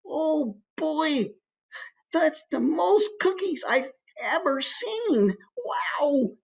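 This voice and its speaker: A man's voice: very animated, very slow, vocal fry, full character voice. A man voicing a wide-eyed small boy full of childlike wonder. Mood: awestruck. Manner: high-pitched, childlike wonder.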